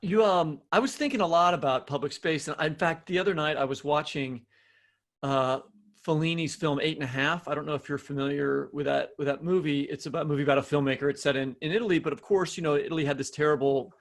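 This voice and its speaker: A man's voice: a little high, steady tone, fast talker. A man co-hosting a podcast in casual, informal, rambling conversation. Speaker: podcast co-host, novelist.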